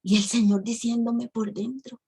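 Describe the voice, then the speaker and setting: A woman's voice: fairly high pitch, steady tone, normal speed, creaky, warm tone, breathy edge. A woman, telling her religious testimony emotionally to a women's ministry. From Costa Rica. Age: 50s. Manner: emotional storytelling, addresses the audience as sisters.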